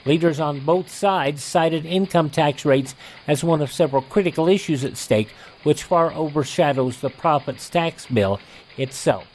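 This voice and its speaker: A man's voice: fairly high pitch, a little mellow, slight background noise, low-quality recording. A man with an American accent working as a radio host, reading scripted announcements in a presenter's style. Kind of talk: scripted narration of ads and old news headlines.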